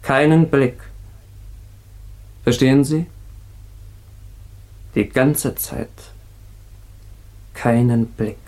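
An actor playing an agitated escaped convict in a radio crime drama, confessing in a halting, emotional way. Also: male voice, medium pitch, a little mellow. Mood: bitter, downcast.

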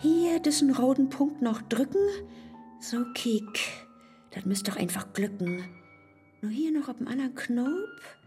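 A woman's voice: sits high, expressive, unhurried, warm tone, soft background music. An actress with a northern German accent playing an outspoken, mocking woman friend in a verse radio play, emphatic and teasing. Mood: curious, conspiratorial. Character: brash, shrewd, outspoken female confidante, fearless and mocking.

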